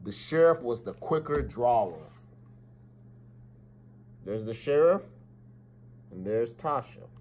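Animated man reading a children's story. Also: medium pitch, slow, pauses often, a little hiss or hum. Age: middle-aged. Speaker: school principal reading aloud. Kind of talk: expressive read-aloud storytelling for children. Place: small office, indoors. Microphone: phone or webcam at arm's length.

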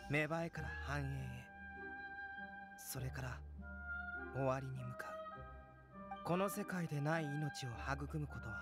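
Composed young male alchemist, lighter high register, measured scholarly delivery for a fantasy game. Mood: gentle, reflective. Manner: measured, composed, philosophical explaining. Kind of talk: Japanese anime game voice acting.

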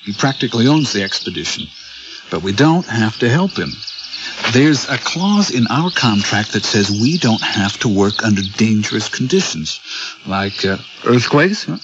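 An actor playing a calm, dryly wry spaceship captain in a radio drama. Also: male voice, on the low side, moderate pace. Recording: muffled radio recording with clear noise.

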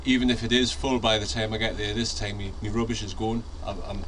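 A man's voice: on the low side, little pitch movement, fairly quick. Chatty Northeast English man in a garden. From North East England. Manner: rambling, chatty, lots of ums and restarts. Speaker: allotment vlogger. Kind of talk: casual chat to camera. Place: outdoor allotment garden, then indoors in a shed. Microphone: handheld camera mic, then fixed camera. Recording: outdoor ambience with sirens and traffic. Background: outdoor ambience, traffic.

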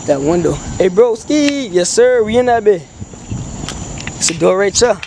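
A young man talks in loose, excitable slang to a handheld camera, his voice sitting a bit high for a man. He is exploring a dark abandoned building at night and keeps dropping to a whisper. The camera audio is noisy, with crickets chirping behind him. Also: normal speed, creaky. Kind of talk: casual handheld vlog.

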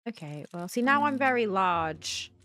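A woman's voice: mid-range voice, expressive, measured pace, sharp tone, dry recording. A young woman gives casual let's play commentary, thinking aloud and questioning herself in puzzlement. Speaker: gaming video host. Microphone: close desk mic with headset on.

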